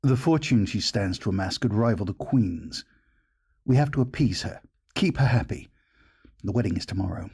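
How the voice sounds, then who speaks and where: A man as a gravelly, conniving gentleman groom. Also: on the low side, lively intonation, heavily acted. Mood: placating. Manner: suave, measured, soothing. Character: smooth, conniving gentleman groom, seductive and placating. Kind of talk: dramatic audio roleplay acting.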